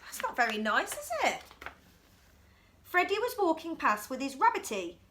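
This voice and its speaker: A woman reading a bedtime story to young children in an expressive way, putting questions to her listeners. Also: high-pitched, moderate pace, noisy background. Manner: animated, acts out dialogue, asks listeners questions.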